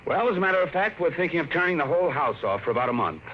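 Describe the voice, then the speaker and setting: A man's voice: on the high side, sing-song, very fast. A man playing a worried middle-aged father who talks to his children in a firm, stern manner, in 1950s radio drama acting. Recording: muffled hissy 1950s radio broadcast.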